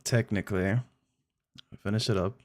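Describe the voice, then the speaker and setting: Chatty male streamer, lowish voice. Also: fairly even intonation, normal speed. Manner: friendly, chatty, addresses viewers directly. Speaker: game streamer. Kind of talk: casual live-stream chat.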